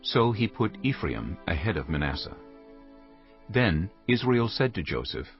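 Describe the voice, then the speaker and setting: A man's voice: deep voice, very animated, unhurried, faint music behind. A man giving scripted narration of a dramatized scripture reading as its narrator. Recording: muffled lo-fi audio.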